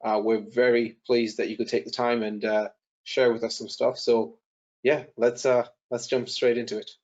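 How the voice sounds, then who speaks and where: A man hosts an online session, introducing the guest in a loose, informal way with pauses and fillers. His voice sits at a middle pitch. The audio is dull and lo-fi. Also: level tone, a little mellow. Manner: informal, hesitant. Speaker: webinar host.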